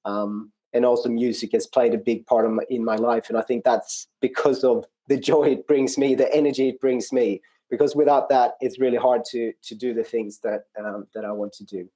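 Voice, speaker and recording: A man with a Swedish accent, speaking conversationally as an interview guest, in a reflective, hesitant way with frequent pauses. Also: mid-range voice, steady tone, warm tone. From rural Sweden, outside Norrköping. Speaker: interview guest, non-profit country manager. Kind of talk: conversational personal account in an interview.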